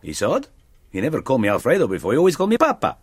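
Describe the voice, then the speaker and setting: A man's voice: mid-range voice, huge pitch swings, very fast, husky. An actor playing a smug, scheming Italian man with an Italian accent and broken English, a fussy parrot owner in a sitcom. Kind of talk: sitcom acting.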